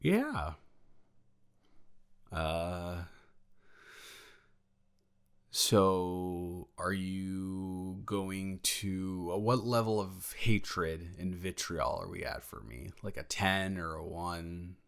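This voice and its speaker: A young man playing a protective, secretly jealous male roommate in an improvised intimate audio roleplay, talking in a casual, halting, hesitant way. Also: low voice, vocal fry, fairly bright tone, smooth, full character voice. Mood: sheepish, guarded.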